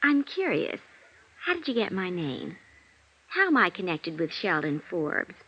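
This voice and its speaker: An actress playing a flustered, genteel young stage performer in a 1950s radio drama, with hesitant, breathy interjections. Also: female voice, lowish voice, sing-song, a little slow, heavily acted. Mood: guarded. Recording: dull vintage radio recording.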